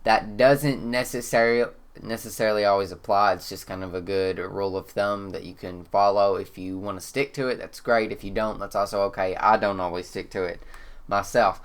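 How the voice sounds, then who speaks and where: A man with a Kentucky accent, congested and slightly hoarse, explaining one of his photos in a relaxed, rambling way with lots of "um.". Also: lowish voice, a little fast. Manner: relaxed, rambling, frequent "um" fillers. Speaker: photographer and channel host. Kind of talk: casual talk-to-camera explainer. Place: indoors.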